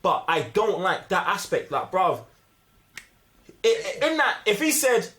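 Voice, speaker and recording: A man's voice: high-pitched, sing-song, a little slow, sharp tone. A man with a London accent in a casual, slang-filled film debate, speaking insistently and emphatically. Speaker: film review host.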